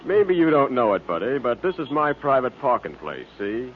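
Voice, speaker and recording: An actor playing a belligerent, slangy street thug in a 1940s radio drama, talking with menace. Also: male voice, mid-range voice, very animated, normal speed, close to an everyday voice. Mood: menacing. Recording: phone-like old radio recording with hiss.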